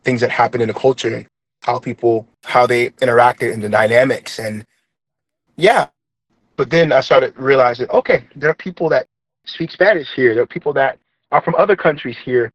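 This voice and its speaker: A man's voice: medium pitch, normal speed, no room sound, rough recording. Trinidad-raised guy, light accent, talks it through with lots of ums, no room sound. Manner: earnest, reflective, with many fillers and restarts. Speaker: guest. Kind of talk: interview, personal story.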